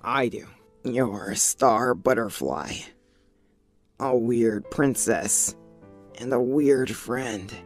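A devoted cartoon teenage boy acted by a man, earnest and sincere. He speaks slowly in a mid-range voice, with music behind him. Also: medium pitch. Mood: tender, reassuring. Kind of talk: fan comic dub voice acting.

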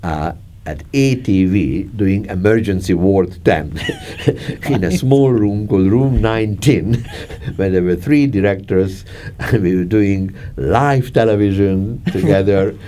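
Older hungarian guy, real low voice, chatty and laughing, stops and starts a lot. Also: wildly swooping pitch, warm tone, a little hiss or hum. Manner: chatty, laughing, gesturing, halting. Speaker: film director. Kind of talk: reminiscing interview. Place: dark studio, seated in armchair.

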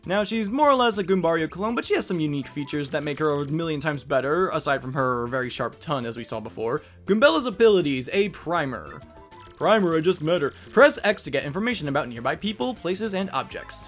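A man's voice: a little high, sing-song, fairly quick, a bit bright. A man hosting a video game playthrough, commenting and reading game text aloud. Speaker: let's play host. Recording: game music behind the voice.

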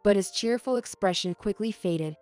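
Woman reading out a story, calm, super dry close mic. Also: medium pitch, moderate pace, smooth, no echo, soft background music. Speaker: narrator. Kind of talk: scripted story narration.

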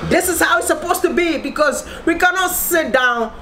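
A man's voice: very high voice, lively intonation, moderate pace, sharp tone, slight background noise. A young man vlogging his opinions to camera in an emphatic, exclamatory way, often asking and answering his own questions. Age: young adult. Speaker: video blogger. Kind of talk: animated opinion vlog to camera. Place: outdoors on a high balcony over a city.

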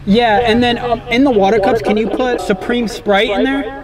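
A young adult man chatting in casual slang as an excitable, jokey prankster vlogger. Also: very high-pitched, moderate pace, a loud crowd. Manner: excitable, jokey, often yelling. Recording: loud music over camera audio.

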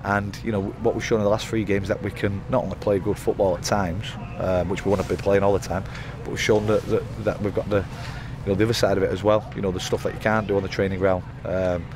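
A man in his thirties, a football manager giving a post-match interview outdoors by the pitch at night, talking in rambling runs full of fillers and restarts. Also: level tone, fast, few pauses. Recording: outdoor interview with loud background noise.

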